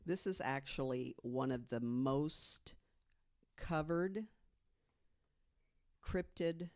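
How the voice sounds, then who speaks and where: American co-host with a mid-pitched voice. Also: drawn-out words, a little mellow. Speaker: guest co-host telling a story. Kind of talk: prepared storytelling read in casual conversation.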